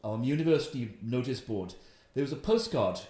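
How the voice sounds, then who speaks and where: A man in his fifties with a Welsh accent, telling his story in an interview with animated, emphatic delivery. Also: mid-range voice, a little fast, reverberant. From Wales. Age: 50s. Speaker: investment guest. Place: room in his house.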